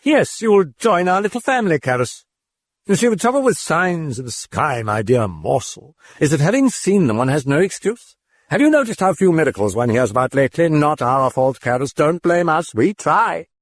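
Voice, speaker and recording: A sly, sneering demon, put on by a man purrs in a raised, sing-song register, dripping with false politeness. Each taunt swoops up and down with mockery. The voice is husky and close, with no room around it. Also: on the high side, very animated, normal speed, no room sound, pitched above the performer's own voice. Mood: sardonic. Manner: mocking, sardonic and falsely cordial. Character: sardonic mocking demon possessing a girl.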